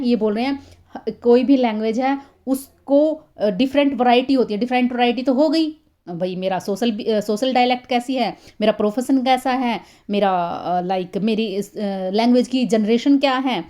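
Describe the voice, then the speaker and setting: A woman's voice: fairly high pitch, warm tone. A woman teaching a lecture, in a conversational way that repeats points and keeps checking with listeners. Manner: conversational, repeats herself, many fillers and checking questions. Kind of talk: explaining literary theory in a recorded lecture.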